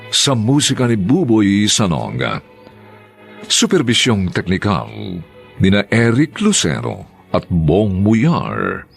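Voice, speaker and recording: A man announcing a radio drama in a theatrical, drawn-out scripted style. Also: on the low side, vocal fry. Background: music.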